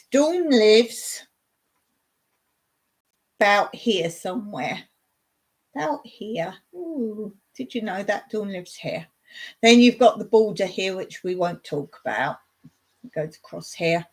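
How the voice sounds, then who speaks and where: A woman chats through a relaxed live stream, rambling from point to point and breaking into laughter. She talks at an unhurried, slow pace, with her pitch sitting in an ordinary middle range. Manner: rambling, chatty, laughs often. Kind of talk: casual live chat to viewers.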